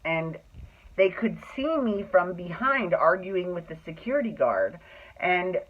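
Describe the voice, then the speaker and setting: A man's voice: high-pitched, very animated, unhurried. A man telling a personal story casually, with a sassy, emphatic manner that slips into acted-out dialogue. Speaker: drag performer telling stories. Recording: thin phone-like sound.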